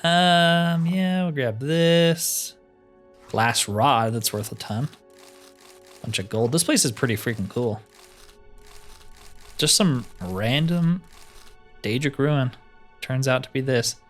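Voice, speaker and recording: Man, medium pitch, casual gaming commentary, easygoing musing delivery, music in the background. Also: takes it slowly, pauses often. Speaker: gaming streamer.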